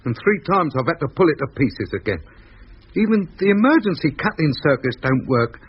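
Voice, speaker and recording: Chirpy working-class Londoner, space radioman, colloquial, animated delivery, noisy 1950s radio drama recording. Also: a little high, a little fast, lo-fi sound. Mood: worried, downcast. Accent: London Cockney. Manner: colloquial, plain-spoken, expressive. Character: anxious, chirpy working-class radio operator on a Moon rocket.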